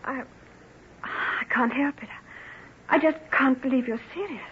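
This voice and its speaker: An actress playing a devoted, self-effacing plain woman in love, emotional and halting, in an old-time radio drama. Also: high-pitched, exaggerated voice. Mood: hurt, doubting. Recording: muffled, rough old radio recording.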